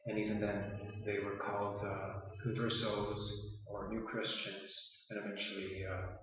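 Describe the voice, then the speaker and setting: A man whose first language is Yiddish, giving a measured interview answer with frequent hesitant pauses. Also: lowish voice, monotone, a little hiss or hum. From Canada. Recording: roomy, distant, dull lo-fi sound.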